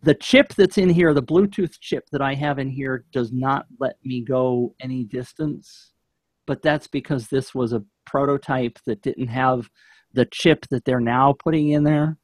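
A man's voice: mid-range voice, unhurried, no echo. A man in his fifties chatting casually as a podcast guest, giving his take on products into a close studio mic. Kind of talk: casual chat, product review. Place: home office indoors. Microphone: studio microphone on shock mount, close up.

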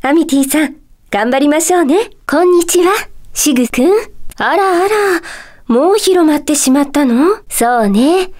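A gentle lady teacher from a magic school for a Japanese video game. Her voice is high and sweetly composed. She speaks politely, like someone addressing young pupils, with a playful, coy touch. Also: expressive, moderate pace. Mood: gentle, teasing. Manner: polite, soft-spoken teacherly phrasing with playful coyness. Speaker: voice actor.

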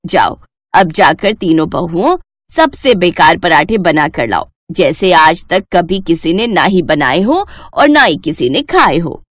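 Woman voicing a low-pitched, commanding old mother-in-law. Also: big pitch swings, unhurried, no echo, clean studio sound. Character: stern, shrewd elderly mother-in-law testing her daughters-in-law. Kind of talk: animated moral story dialogue.

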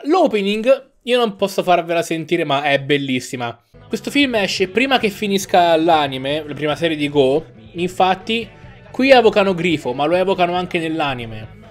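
A young adult man giving casual reaction commentary on an animated film. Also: sits high, moderate pace. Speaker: reactor commenting on an anime fandub. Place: indoor room at home. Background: music and sound of the anime playing.

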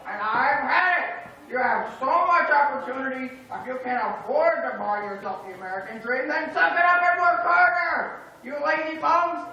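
Gravelly scornful bank boss yelling, puppeteer doing the voice, dull far-off echoey sound. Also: high-pitched, a little hiss or hum, exaggerated voice. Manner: blustering, scornful. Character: rude, contemptuous bank manager. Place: small indoor puppet stage.

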